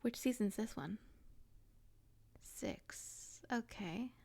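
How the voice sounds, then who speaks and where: Woman acting a slow, creaky, doting best friend. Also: medium pitch, lively intonation, a little mellow, full character voice. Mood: chatty, relaxed. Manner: affectionate, teasing, rambling, slangy. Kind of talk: intimate audio roleplay, casual one-sided chat.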